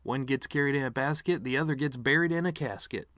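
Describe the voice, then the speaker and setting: A man's voice: mid-range voice, fast, fairly bright tone, no echo, natural voice. Guy doing a bitter washed-up actor son, sardonic eulogy monologue, regretful, rambly asides, dry sound. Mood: sardonic joking. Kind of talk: dramatic monologue reading.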